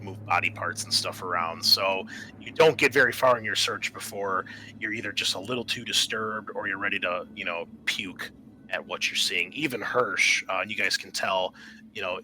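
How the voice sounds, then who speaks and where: A man with a fairly low, very bright and forceful voice runs a tabletop game. He explains the scene haltingly, improvising as he goes, with faint noise behind him. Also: poor audio. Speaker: game master.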